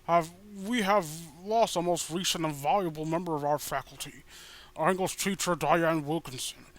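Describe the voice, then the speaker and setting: A man voicing a solemn male school principal in his forties, giving a slow, formal speech in a comedic story reading. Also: on the high side, close to an everyday voice. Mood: solemn, halting.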